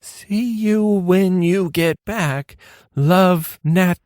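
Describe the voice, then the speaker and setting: A woman's voice: on the low side, very slow, a little mellow, no room sound, clean studio sound. A woman playing a fussy, melodramatic male gourmet who presides as judge in an amateur radio play. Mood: indignant. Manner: melodramatic, indignant.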